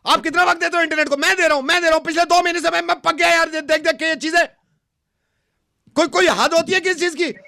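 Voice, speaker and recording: Man acting as an irate older male customer, very high voice, insistent, repetitive, dry sound, comic prank call. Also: fairly even intonation, quick pace, gravelly, bright, forceful tone, no room sound, heavily acted. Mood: exasperated. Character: irate older customer complaining about cheap internet. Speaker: radio prank caller.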